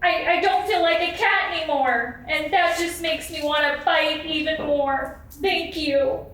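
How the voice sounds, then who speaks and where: A woman voicing a confused pet cat confessing a biting habit, halting, in an amateur puppet show. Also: high voice, fairly bright tone. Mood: sheepish, troubled. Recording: echoey convention room, distant, faint noise.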